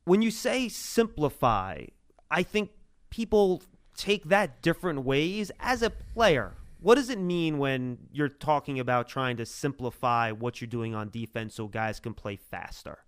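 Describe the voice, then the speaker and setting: A man's voice: mid-range voice, expressive, unhurried, smooth, dry recording, clean studio sound. A man hosting a sports podcast, introducing segments and putting questions to his guest. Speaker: podcast host and interviewer.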